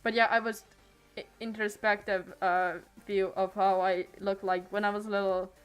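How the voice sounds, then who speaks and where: A woman's voice: medium pitch, little pitch movement, moderate pace, smooth, faint music behind, low-quality recording. A teenage girl streaming from home on a desk microphone, chatting casually and hesitantly, trailing off and restarting her sentences. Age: late teens. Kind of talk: casual rambling stream chat.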